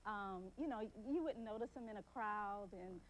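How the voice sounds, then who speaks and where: A woman's voice: medium pitch, moderate pace, muffled recording, a little hiss or hum. A woman guest on a talk show, chatting loosely with lots of giggles and fillers.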